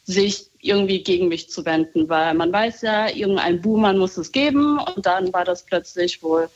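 A woman talking casually on a call, reflective and soft-spoken, often laughing lightly. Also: lowish voice, moderate pace, lo-fi sound. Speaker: guest.

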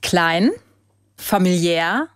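A woman from Hesse with a slight Hessian accent, chatting as a guest in a radio studio and often pausing with fillers. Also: on the low side, a bit bright, clean tone, no room sound. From Hesse, Germany. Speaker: interview guest. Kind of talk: casual radio interview.

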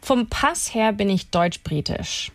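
A woman's voice: medium pitch, lively intonation, slow, no echo. A chatty singer-songwriter with a Canadian accent, guesting on a radio show. She laughs easily and drops English words into her talk, with a dry, close studio sound. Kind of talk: radio interview, casual chat.

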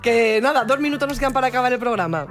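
A woman with a light Navarrese accent hosts a radio show, talking fast and playfully. She jokes easily with her co-host from the studio, with soft music playing faintly underneath. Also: fairly high pitch, lively intonation. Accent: Navarrese Spanish. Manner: chatty, playful, quick. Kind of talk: casual radio chat.

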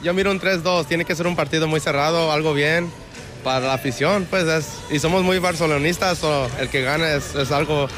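A man's voice: a little high, steady tone, brisk, music in the background. A man from Mexico with a Mexican accent, casually answering a street interview as a fan. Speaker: football fan being interviewed. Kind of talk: casual vox pop. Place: outdoors at a stadium entrance.

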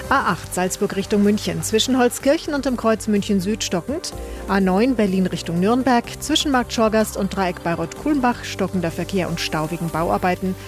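A woman presenter reads traffic, weather and headlines in a radio studio with crisp, even broadcast poise. Her voice sits in a middle register, and a faint music bed murmurs underneath. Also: medium pitch, moderate pace. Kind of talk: read news, traffic and weather bulletin.

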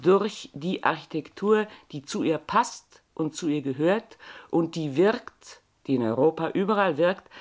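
Deliberate low-voiced woman from Bavaria. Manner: deliberate, with frequent "äh" and "nicht wahr". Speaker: interview guest. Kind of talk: thoughtful conversational talk.

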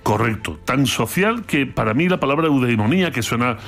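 A man from Andalusia with an Andalusian accent, explaining philosophy in a lively talk with playful, theatrical asides. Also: medium pitch, a little fast. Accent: Andalusian Spanish. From Andalusia, Spain. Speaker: philosophy commentator.

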